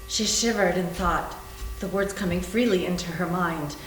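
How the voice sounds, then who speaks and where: A woman's voice: fairly low pitch, moderate pace. A woman reading scripted story narration in a readers theater performance. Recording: roomy echo, faint hum.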